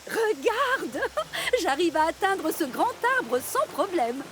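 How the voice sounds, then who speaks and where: A woman voicing an eager, modest female fire engine in an animated children's cartoon. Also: very high voice, slow, faint music behind, exaggerated voice. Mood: proud, playful.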